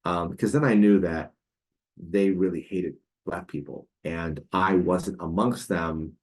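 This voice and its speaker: A man's voice: deep voice, steady tone, a little slow. A man in his forties, a podcast guest, telling a personal story in a casual, hesitant way with many fillers and restarts. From United States.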